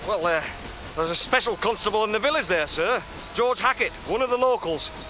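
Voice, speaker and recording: An actor playing a deferential British police sergeant in a radio drama. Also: male voice, sits high, animated, fairly quick, music in the background. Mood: dutiful.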